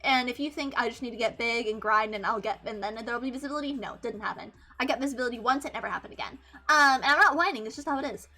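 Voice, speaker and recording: A woman in her twenties with a high-pitched voice, chatting casually on a livestream and swearing freely, rambling with many restarts. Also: rapid-fire, few pauses, sharp tone. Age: 20s. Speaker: VTuber streamer.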